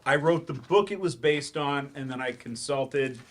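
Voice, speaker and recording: An actor in a live play reading, playing a weary writer turned remedial teacher with a dry, hesitant manner. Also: male voice, mid-range voice, normal speed, slight background noise, close to an everyday voice. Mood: weary, defensive.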